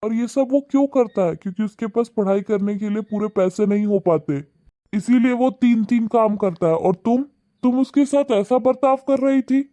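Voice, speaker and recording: A man's voice: high-pitched, moderate pace, mellow, dry recording, lightly acted. Vivek, a man voicing a kind, preachy husband. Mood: scolding. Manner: earnest, lecturing. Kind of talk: cartoon voice acting.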